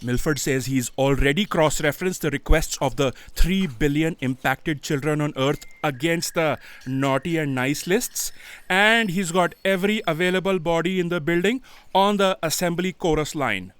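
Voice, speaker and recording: A woman's voice: low voice, normal speed, clear, resonant tone, dry recording, natural voice. A woman playing an earnest, urgent copy aide in a comic audio drama. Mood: matter-of-fact. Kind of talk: scripted audio comedy drama acting.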